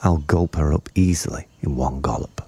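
Guy doing a big sneaky hungry croc from a kids story, husky and deep, slow greedy muttering. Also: lively intonation, mellow, dry recording, full character voice. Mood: sly, greedy. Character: enormous greedy scheming male crocodile, hungry for children.

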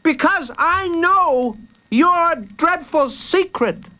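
A small, bold boy prisoner from a children's story. The voice sits high above the reader's own, with earnest, stubborn defiance and lively swings in pitch. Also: takes it slowly, full character voice. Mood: defiant. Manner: earnest, defiant, repeats himself.

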